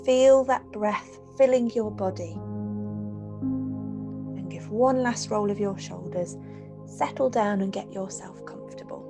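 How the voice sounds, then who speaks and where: Female relaxation guide for a children's bedtime meditation: medium pitch, gentle, pausing delivery, set over prominent music and rainfall. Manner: soothing, gentle, unhurried instruction with pauses. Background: music and rain sounds.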